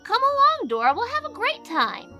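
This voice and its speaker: Mischievous scrappy boy, very high sharp voice, blustering and defiant, storybook drama. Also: huge pitch swings, moderate pace, faint music behind, full character voice. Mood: bossy, scheming. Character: defiant, mischievous young boy, hot-tempered and bragging. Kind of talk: audio storybook character acting.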